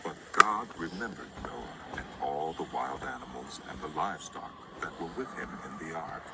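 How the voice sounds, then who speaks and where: A man's voice: extremely low pitch, lively intonation, normal speed, gravelly, heavy background noise. A man narrating scripture as a dramatized story in a solemn, theatrical manner. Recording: voice over prominent music.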